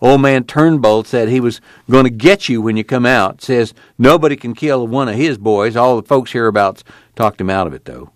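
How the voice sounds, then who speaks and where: A man's voice: mid-range voice, normal speed, no echo, studio quality, close to an everyday voice. A man voicing an agitated, grieving rural drifter who rambles in folksy rustic grammar, bitter and apologetic, in an audiobook. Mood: timid.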